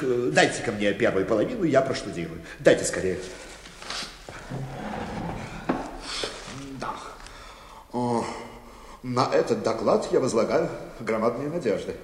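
A man's voice: medium pitch, close to an everyday voice. An actor playing a vain, self-pleased bank chairman in a radio play, pompous and fussily familiar in a theatrical way. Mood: self-satisfied, grandiose. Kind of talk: theatrical Russian radio drama acting. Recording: echoey hall with long reverb.

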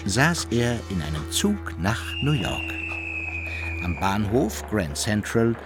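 An actor as the storyteller of a German radio play, giving mock-solemn scripted narration of a hero's misadventures. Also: male voice, on the low side, big pitch swings, slow pace, music in the background.

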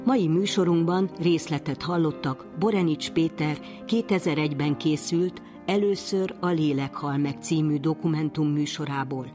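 Casting: female radio presenter, deep register, scripted delivery, music behind. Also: steady tone, hardly any pauses.

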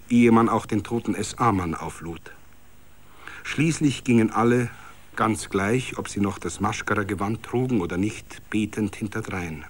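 A man with a Bavarian accent reads a literary story as dramatic scripted narration. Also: on the low side.

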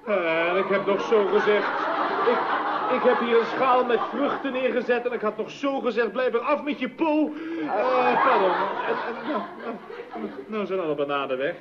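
An actor playing an indignant, whiny young artist complaining and stammering, in old radio comedy style. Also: male voice, sits high, normal speed, loud music behind the voice, a loud crowd, natural voice. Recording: muffled, noisy old radio recording.

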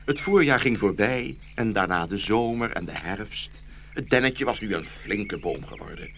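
A man narrating a children's fairy tale in an expressive scripted storytelling style. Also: fairly low pitch, moderate pace. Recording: faint background noise.

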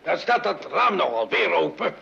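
A man's voice: sits high, very animated, moderate pace, gravelly, sharp tone, full character voice. A man acting a short-tempered, sheepish middle-aged father in a radio play, stammering and repeating himself when flustered. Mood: irritated. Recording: dull lo-fi radio recording, faint noise.

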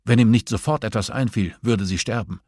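A man narrates a thriller audiobook from a script. His voice is on the low side with a husky grain, and the recording is very dry, free of room sound. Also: a little fast, darkish tone, no echo.

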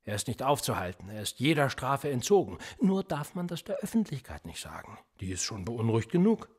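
A police interrogator in a radio drama, sarcastic and quietly threatening. His sits at a medium pitch with a rough, husky grain. He probes and provokes, dry and needling. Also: big pitch swings, fairly quick. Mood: bitter, weary. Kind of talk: German radio play acting.

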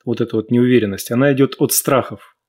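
A man's voice: mid-range voice, fairly even intonation, fast, a little mellow. Man lecturing as a radio host. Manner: didactic, explains with examples. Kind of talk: live radio talk, lecturing.

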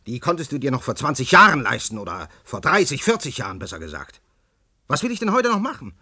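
An actor playing a disillusioned, pragmatic middle-aged scientist in a radio play, cynical and resigned. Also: male voice, medium pitch, wildly swooping pitch, fast, bright, forceful tone, slightly rough, no echo, clean studio sound, full character voice. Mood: irritated, defensive. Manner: resigned, cynical, flaring into irritation.

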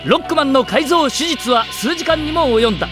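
High-pitched dramatic story narrator. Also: male voice, expressive, moderate pace, over music. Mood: dramatic, intense. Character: dramatic male narrator of a hero's tale.

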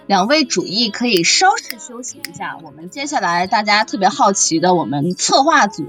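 A woman hosting a casual live voice chat in a teasing, reassuring way, full of exclamations. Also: medium pitch. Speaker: host of a radio drama cast chat. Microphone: online voice chat.

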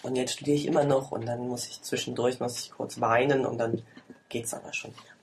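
Chatty man in his twenties, mid-pitched and ordinary in tone, talking loosely and self-mockingly at home over tea. He laughs often and rambles between thoughts. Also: little pitch movement, a little fast, slight background noise. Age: 20s. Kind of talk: casual chat.

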